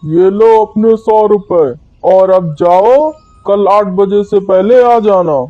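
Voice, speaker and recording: A man's voice: high-pitched, takes it slowly, dry recording, narrow, tinny audio, soft background music. A man voicing a greedy, bossy male crow who runs an auto-rickshaw in a Hindi children's cartoon.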